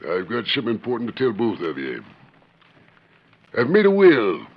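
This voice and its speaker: A man's voice: fairly low pitch, wildly swooping pitch, moderate pace, husky, heavily acted. An actor playing a stern, fair-minded older ranch owner and father in a 1940s radio western, speaking firmly and plainly. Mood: stern, fatherly. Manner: firm, commanding, plain-spoken. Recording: muffled, noisy old 1940s radio recording.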